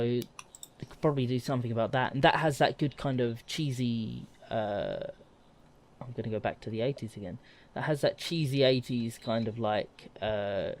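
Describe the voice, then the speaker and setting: A man in a casual podcast conversation. His voice is mid-pitched, and he speaks as a co-host bantering with the others. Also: slight background noise. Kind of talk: casual, animated group chat.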